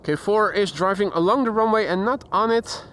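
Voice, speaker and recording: Man, Dutch accent, high voice, chatty live commentary, outdoors, faint background hum. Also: normal speed, fairly bright tone. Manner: chatty, reads chat aloud, imitates sounds. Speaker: plane spotting livestream host. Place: outdoors beside an airport. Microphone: handheld shotgun mic.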